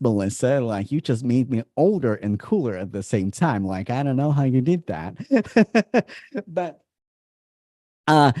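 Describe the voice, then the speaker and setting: A man in his thirties with a Russian accent coaches an online class in an informal way. His tone is dark and mellow, at an ordinary male pitch. He often circles back to restate a phrase, and the sound is dry and close, with little room in it. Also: no echo. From Moscow, Russia. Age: 30s. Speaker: business coach hosting a masterclass.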